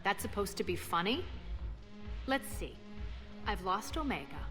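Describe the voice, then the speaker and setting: A woman's voice: mid-range voice, sing-song, a little slow. An actress playing a cynical, imperious female alien crime boss in a video game, dry and commanding. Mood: bitter, sardonic. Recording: long reverb, music behind. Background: prominent music.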